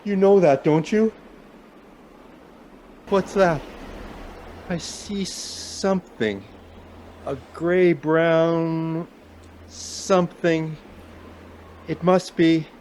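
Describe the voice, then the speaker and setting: Man acting a slow-spoken, guilt-ridden husband. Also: fairly high pitch, steady tone, darkish tone, a little hiss or hum. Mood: uneasy, pleading. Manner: halting, muttering to himself. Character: stubborn, guilt-ridden husband trudging alone through a snowstorm. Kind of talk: radio play acting.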